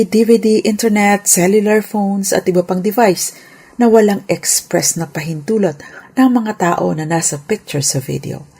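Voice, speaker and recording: Husky, mellow woman explaining law. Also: medium pitch. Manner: formal, polite, instructive. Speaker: lawyer and radio legal segment host. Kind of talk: scripted legal explainer with English terms mixed in.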